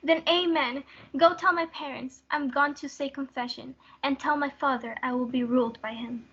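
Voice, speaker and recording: A woman's voice: sits high, steady tone, normal speed, fairly bright tone, muffled recording. A young woman playing Juliet, a desperate, defiant teenage girl in love, in a stage-play reading. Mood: resolved.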